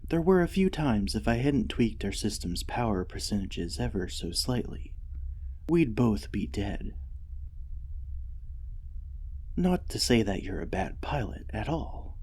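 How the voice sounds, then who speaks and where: A gentle artificial intelligence of a war mech , acted by a man, speaks in a slow, soft ASMR roleplay. The voice is lowish and very mellow, earnest and devoted, with a hesitant, soothing care for its pilot. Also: very animated, slightly rough, full character voice. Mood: earnest, tender. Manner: soothing, earnest, hesitant, often dropping to a whisper.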